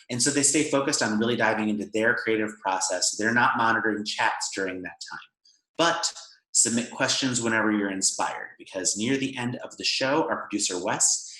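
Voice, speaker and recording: A man's voice: on the low side, sharp tone, roomy sound, poor audio. A man hosting a video call show, opening with upbeat, enthusiastic housekeeping notes. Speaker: host.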